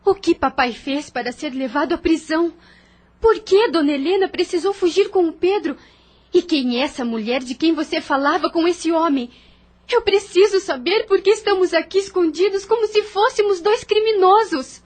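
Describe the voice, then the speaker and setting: A woman's voice: very high voice, moderate pace. A woman voicing a firm, worried young sister who questions her brother insistently in an audio drama.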